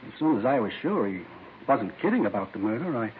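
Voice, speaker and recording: A man's voice: mid-range voice, big pitch swings, natural voice. Man acting an uneasy informant, hesitant, tinny sound. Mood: uneasy, earnest. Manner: hesitant, halting, earnest. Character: uneasy, down-on-his-luck man informing police of murder plot. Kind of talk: 1950s radio drama acting. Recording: hissy, tinny old radio recording.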